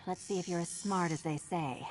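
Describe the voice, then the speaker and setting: An actress playing a self-assured, teasing cat burglar in a video game. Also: female voice, fairly low pitch, moderate pace, faint music behind, lightly acted. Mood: cool, teasing.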